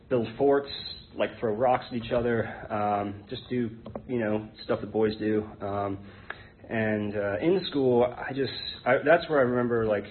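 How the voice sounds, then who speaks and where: An American man in his thirties speaking informally and haltingly, giving a personal testimony to a group. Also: lowish voice. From born in Hong Kong to an English identity, raised in Connecticut, United States. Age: 30s. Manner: hesitant, halting, rambling. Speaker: guest speaker at an Alcoholics Anonymous meeting. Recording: tinny phone-like band, noisy background.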